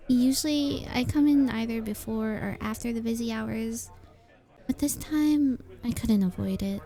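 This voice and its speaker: A woman voicing a very shy, sweet young coffee shop regular in an ASMR roleplay, talking in a hesitant, softly earnest way that sometimes drops toward a whisper. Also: on the high side, measured pace. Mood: earnest, chatty.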